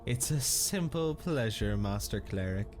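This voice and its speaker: Creepy castle physician, slow deliberate speech, unctuous, morbidly fascinated, dark fantasy drama, music behind. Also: male voice, fairly low pitch, lively intonation. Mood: quietly menacing. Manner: unctuous, deliberate, chuckling menace.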